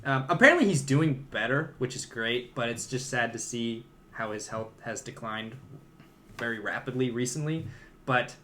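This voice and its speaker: A man's voice: mid-range voice, animated, normal speed, bright, forceful tone, slight background noise. Man chatting casually about films. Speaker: podcast co-host. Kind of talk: casual film discussion.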